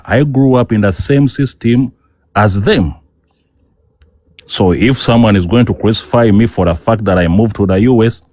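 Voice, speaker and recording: A man's voice: medium pitch, darkish tone, no echo, clean studio sound. A man from Uganda arguing in a heated online debate, insistent and repeating himself as he talks over the other speaker. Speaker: debate guest.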